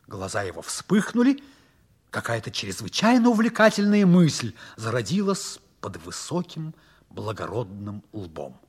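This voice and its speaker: An actor narrating a 1960s Soviet radio play as an expressive storyteller, with a husky voice and deliberate, theatrical emphasis. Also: male voice, mid-range voice. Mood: expressive storytelling. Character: storyteller narrator of a whimsical science fiction tale.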